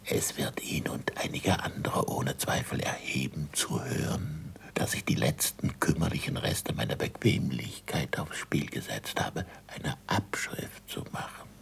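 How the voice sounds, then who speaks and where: An older actor playing a weary, disgraced scientist in a German radio play, guarded and bitterly ironic, with a gravelly, often hushed voice. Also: male voice, rumbling low, lively intonation, measured pace, few pauses, in a whisper, heavily acted. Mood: hushed, confiding.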